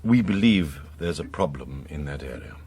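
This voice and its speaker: An actor playing a discreet, composed British intelligence officer in a radio drama, clipped, courteous and precise. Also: male voice, a bass voice, expressive, normal speed, a little mellow, breathy edge, full character voice.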